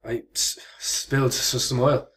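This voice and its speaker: An actor playing a frightened young worker with a halting stammer in a radio play. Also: male voice, mid-range voice, flat delivery, fairly bright tone, lightly acted. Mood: nervous, defensive.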